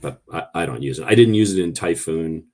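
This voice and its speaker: A man's voice: sits low, lively intonation, brisk, a little mellow. A man in his sixties, a former test pilot, telling blunt, emphatic stories as a guest in a casual podcast chat.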